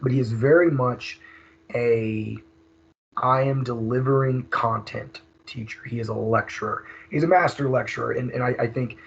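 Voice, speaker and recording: Hoarse guy in his 30s running a teacher training, gravelly and husky, kinda muffled lo-fi recording. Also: mid-range voice, fairly even intonation, moderate pace. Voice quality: raspy. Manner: conversational, with many fillers and restarts. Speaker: teacher leading staff training. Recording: dull lo-fi screen recording.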